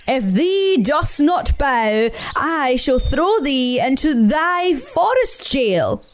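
A woman's voice: sits high, very animated, slow pace, voice pushed up, heavily acted. A woman voicing a pompous male badger who crowns himself king and speaks in grand, old-fashioned royal words. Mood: threatening.

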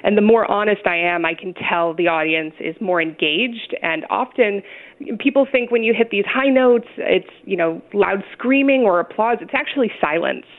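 A woman opera singer, chatty and quick to laugh, being interviewed over the phone. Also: mid-range voice. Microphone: telephone. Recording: tinny phone line.

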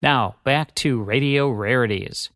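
A man's voice: mid-range voice, measured pace, a bit bright, no echo, clean studio sound. A man co-hosting a radio show, reading a scripted promotional announcement.

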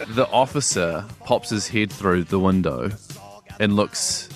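A man co-hosting breakfast radio, telling a family story in an animated way. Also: fairly low pitch, slow pace, soft background music. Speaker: radio co-host. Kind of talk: casual radio banter.

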